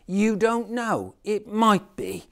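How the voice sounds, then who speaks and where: A man's voice: high voice, slow pace, gravelly, pitched above the performer's own voice, exaggerated voice. A man voicing a bossy six-year-old girl playing Mary in a nativity play, speaking sharply and indignantly. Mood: stubborn. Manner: sharp, indignant, hands-on-hips.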